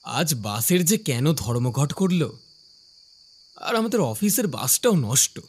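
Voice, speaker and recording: A man's voice: fairly high pitch, big pitch swings, slow, darkish tone, slightly rough, exaggerated voice. A man voicing a curious young office worker who narrates his own ghost story in a cartoon, with acted dialogue. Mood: chatty. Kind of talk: scripted first-person narration with acted dialogue.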